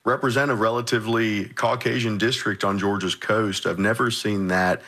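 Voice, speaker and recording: Male state legislator as panel guest, low-pitched, measured and explanatory, unscripted political talk. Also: fairly even intonation, sharp tone.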